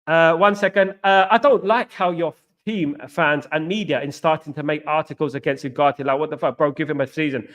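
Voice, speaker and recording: A man's voice: a little high, fairly even intonation, fairly quick, hardly any pauses, fairly bright tone. A man hosting a live football fan stream, chatting casually, reading viewer comments and repeating himself. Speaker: host. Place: China.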